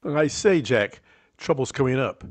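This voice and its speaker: A man plays an unflappable British gentleman in a vintage radio crime serial. His mid-pitched voice is terse, polite and understated, recorded close and dry. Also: lively intonation, a little slow, no room sound. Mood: watchful. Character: unflappable, clipped English gentleman sidekick named Reggie. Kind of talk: 1940s radio drama acting.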